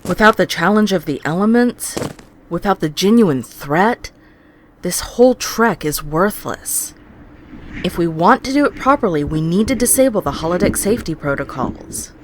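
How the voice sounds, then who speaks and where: A woman's voice: fairly low pitch, expressive, normal speed, close to an everyday voice. A woman playing a headstrong, teasing alien starship officer in a science fiction audio drama, emphatic and goading, with noise behind her. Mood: goading, confident.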